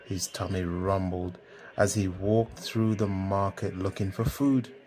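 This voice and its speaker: A man's voice: sits low, steady tone, a little slow, darkish tone. A man narrating a scripted children's story as a storybook reader. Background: music.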